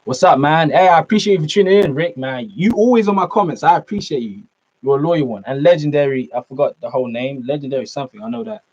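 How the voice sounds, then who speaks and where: A man's voice: a little high, animated, fast, a little mellow. A young man with a British accent chatting casually on a live stream, answering viewer comments in a laid-back way and calling them man and bro. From Norway, raised in the UK. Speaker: fitness streamer. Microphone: laptop mic. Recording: slightly dull lo-fi sound.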